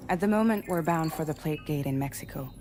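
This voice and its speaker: A woman's voice: sits low, fairly quick, darkish tone, a little hiss or hum, natural voice. A woman acting as a composed, resolute ship commander in a cinematic video game. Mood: earnest, resolute. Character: composed female commander of a civilian ship crew, welcoming, resolute.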